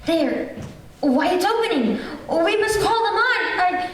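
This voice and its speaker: A woman's voice: very high-pitched, animated, a little slow. A young woman playing a frightened but plucky heroine in an old-time radio drama, melodramatic and urgent, in an echoey theater. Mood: anxious. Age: young adult. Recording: echoey theater, distant mic, noisy.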